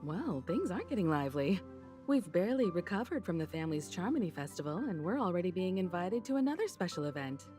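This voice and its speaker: A woman voicing a poised, mature, gently wry leader in a video game. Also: fairly low pitch, very animated, fast talker, faint music behind. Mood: amused.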